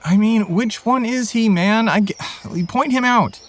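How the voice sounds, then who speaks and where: An actor playing a casual, slangy, exasperated producer sidekick in a comedy audio drama. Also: male voice, sits high, a little slow, a little hiss or hum. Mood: flustered. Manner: slangy, flustered.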